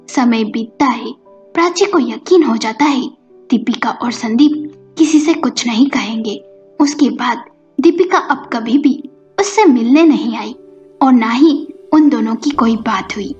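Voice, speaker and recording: A woman's voice: fairly high pitch, darkish tone. A woman storyteller narrating a scripted story. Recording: dull lo-fi audio with music. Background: background music.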